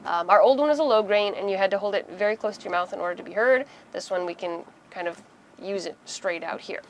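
A woman's voice: medium pitch, quick pace, slight background noise. A woman in her thirties giving a casual, conversational how-to explanation inside a small boat cabin, using everyday examples. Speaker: host of a sailing how-to video.

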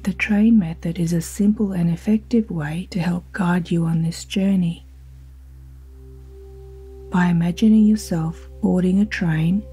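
A woman guiding a sleep hypnosis in a slow, soothing, soft-spoken manner with breathy phrase endings. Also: on the low side. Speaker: hypnosis guide. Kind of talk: guided sleep hypnosis narration. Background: ambient music with singing bowl tones.

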